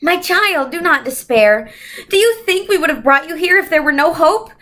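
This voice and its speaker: Gentle winged female mentor read by a woman, very high voice, patient, encouraging, explanatory, storybook character. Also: lively intonation, moderate pace. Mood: reassuring. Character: gentle, reassuring female winged otherworldly guide.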